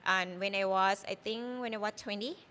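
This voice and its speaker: A woman's voice: medium pitch, steady tone, moderate pace, pushed and bright, a little hiss or hum. A woman in her thirties from Thailand, with a Thai accent and Thai as her first language, chatting loosely as a chef guest in an interview. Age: 30s. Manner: chatty and loose, full of "you know" and "like". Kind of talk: casual interview.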